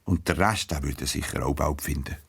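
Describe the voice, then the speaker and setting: A man's voice: very deep voice, brisk, creaky, husky. A man with a Swiss German accent narrating a scripted story for children.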